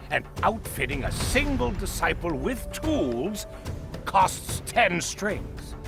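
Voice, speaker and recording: A bitter, hard-nosed game character, with a fairly high male voice and gravelly creak. He is scornful and theatrical, swinging widely in pitch as he challenges his leader, with music clearly behind him. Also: full character voice. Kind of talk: dramatic video game voice acting.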